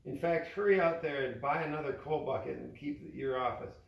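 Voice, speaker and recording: A man's voice: fairly high pitch, a little fast, gravelly, reverberant, far from the mic, lo-fi sound. A man voicing an emphatic old miser who has turned generous, in a storybook reading. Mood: hearty, generous. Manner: growling, emphatic.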